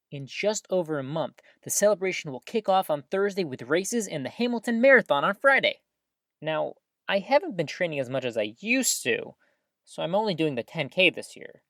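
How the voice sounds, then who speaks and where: A woman's voice: lowish voice, fairly bright tone, no echo, studio quality. An actress playing an earnest, cheery woman who hosts a small-town community radio show, with a folksy announcing style. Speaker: radio host.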